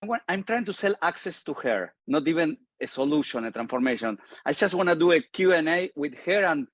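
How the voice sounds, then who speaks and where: A man on a group video call talking haltingly, with many restarts and non-native phrasing. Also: a little high, expressive, no echo. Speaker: call participant. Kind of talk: casual conversation.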